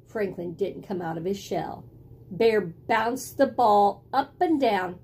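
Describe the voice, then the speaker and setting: A middle-aged woman reading a picture book aloud in an expressive, deliberate storytelling style, indoors at home. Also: medium pitch, slight background noise. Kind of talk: expressive children's read-aloud. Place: small indoor room at home with bookshelves.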